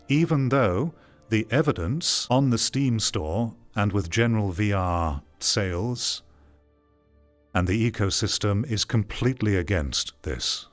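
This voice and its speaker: A man with a lowish voice hosts an opinionated commentary, reading aloud and picking it apart. His delivery is lively, mocking and theatrical. Faint music plays behind him. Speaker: host of a commentary channel on VR games.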